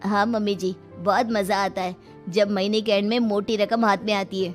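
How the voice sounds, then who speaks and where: A woman's voice: medium pitch, flat delivery, normal speed, soft background music, lightly acted. A woman voicing a cheeky, food-loving young son-in-law who whines and wheedles in a comic story. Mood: eager. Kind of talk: acted comedy story dialogue.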